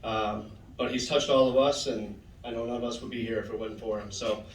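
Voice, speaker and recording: A man's voice: mid-range voice, fairly quick. Man telling stories in an echoing hall. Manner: storytelling, animated quoting. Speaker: former teammate giving a tribute. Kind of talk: heartfelt tribute speech. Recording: roomy hall, voice from far off.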